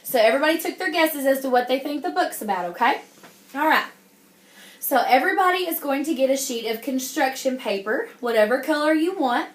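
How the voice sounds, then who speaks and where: Female primary teacher, high-pitched, interactive read-aloud, encouraging and checking in often, recorded in an echoey room. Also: fairly bright tone. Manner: encouraging, addresses the class as guys, frequent check-in questions. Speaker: teacher reading a picture book to young children. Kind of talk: interactive classroom read-aloud with questions and praise.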